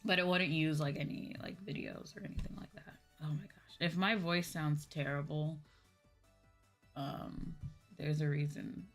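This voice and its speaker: A woman's voice: sits low, fairly even intonation, unhurried. A young adult woman chatting casually on a livestream, musing and hesitant as she answers chat, her voice a bit sore and tired from a day of talking. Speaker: VTuber streamer. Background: background music.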